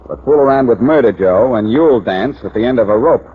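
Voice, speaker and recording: An actor playing a confident, wisecracking amateur sleuth in a glib, theatrical 1940s radio detective style. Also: male voice, medium pitch, lively intonation, brisk, lightly acted. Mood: pointed, needling. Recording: dull, noisy vintage 1940s radio recording.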